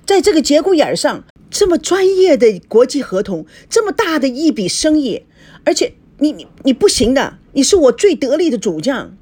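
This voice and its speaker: A woman voicing a flustered male office manager in an audiobook, halting, exasperated and pleading. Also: a little high, sing-song, slightly rough, pitched above the performer's own voice, exaggerated voice.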